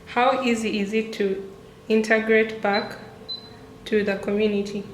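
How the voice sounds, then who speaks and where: A woman's voice: fairly high pitch, level tone, unhurried, slight background noise. A woman interviewer who asks short, plain questions. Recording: echoey room.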